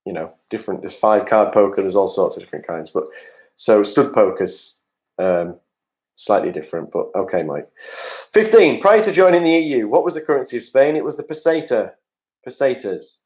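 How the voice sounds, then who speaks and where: A man's voice: lowish voice, expressive, normal speed, a little mellow. Man hosting a pub quiz. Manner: jokey, chatty asides to players, reads questions aloud. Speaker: quiz host. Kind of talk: reading out pub quiz answers. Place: home, online video call quiz.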